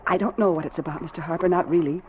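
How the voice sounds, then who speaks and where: An actress playing a frightened, pleading captain's wife in a melodramatic old radio drama, breathless and urgent. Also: female voice, lowish voice, steady tone, very fast, lightly acted. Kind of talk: melodramatic 1940s radio acting. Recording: dull, faintly noisy vintage radio recording.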